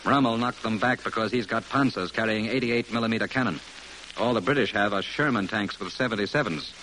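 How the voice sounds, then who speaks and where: A man's voice: lowish voice, fairly quick, bright, forceful tone. A man playing a grave military officer in a classic radio drama, giving a briefing in a clipped, emphatic, solemn way. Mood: urgent, firm. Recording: faintly noisy old radio recording.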